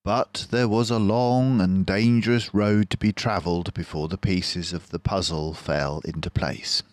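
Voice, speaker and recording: Slow, low-voiced older man reading a storybook. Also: steady tone, no room sound. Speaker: storybook reader, narrator. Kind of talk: scripted storybook narration read aloud.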